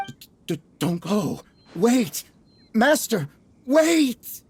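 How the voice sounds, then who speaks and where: A woman's voice: a little high, sing-song, drawn-out words, a little mellow, slight background noise, exaggerated voice. A woman playing a blustering, scheming merchant villain in a video game, melodramatic and servile to superiors. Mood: pleading. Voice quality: creaky. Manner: melodramatic, stammering when cornered. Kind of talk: video game character acting.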